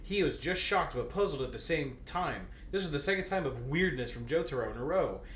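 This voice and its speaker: A man's voice: on the high side, expressive, brisk, a bit bright. A man casually reading fan fiction aloud and bantering, with a teasing, crude and giggly manner. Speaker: guest co-reader. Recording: roomy echo, faint background noise.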